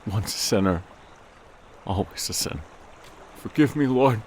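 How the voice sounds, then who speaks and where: A man's voice: on the low side, expressive, a little slow, noisy background. A man playing an anxious, guilt-ridden man of God in an audio drama, hesitant and stammering. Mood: solemn, guilty.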